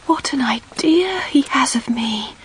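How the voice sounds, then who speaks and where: An actress playing a shy young governess, hushed and breathy, speaking her private thoughts in an audio drama. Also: high-pitched, expressive, slow, slight background noise. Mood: yearning, self-reproaching. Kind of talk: intimate inner-monologue narration in audio drama.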